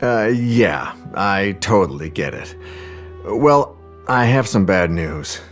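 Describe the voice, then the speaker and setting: A man's voice: fairly low pitch, expressive, takes it slowly, soft background music. An actor playing an affectionate, nosy man with a casual, hesitant, coaxing manner in a video game scene. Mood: reluctant. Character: affectionate, cajoling man, caring but nosy. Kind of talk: naturalistic video game cutscene acting.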